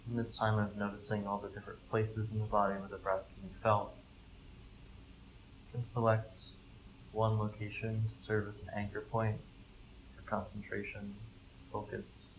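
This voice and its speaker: A live recording with noticeable background noise carries a man's low voice. He guides a meditation calmly, with little melodic movement. His instructions come gently in measured phrases, with room to breathe between them. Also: low-quality recording. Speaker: mindfulness fellow, meditation guide.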